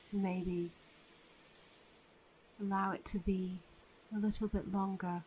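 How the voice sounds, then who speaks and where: Female meditation guide, soothing and very slow, little pitch movement, faint ambient noise behind. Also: little pitch movement, darkish tone, poor audio. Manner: soothing, unhurried with long pauses.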